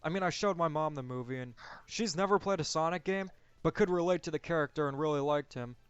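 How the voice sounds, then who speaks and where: A smooth, clear male voice, pitched a little high, in casual film discussion. The recording is dry and somewhat dull. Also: fast, dry recording. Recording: dull, lo-fi, close dry sound.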